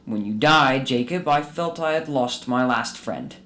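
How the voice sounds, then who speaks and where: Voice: mid-range voice, a little slow, fairly bright tone, a little hiss or hum, close to an everyday voice. Someone playing a bitter old miser. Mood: wistful. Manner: curt, scolding, grumbling. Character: bitter, miserly old male businessman, grumbling and scornful. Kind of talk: radio drama acting.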